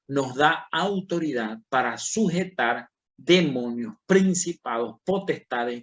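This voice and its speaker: A man who is a pastor, teaching a Bible class in sermon style, slow and emphatic, rising on key words and speaking straight to his listeners. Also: mid-range voice, a bit bright.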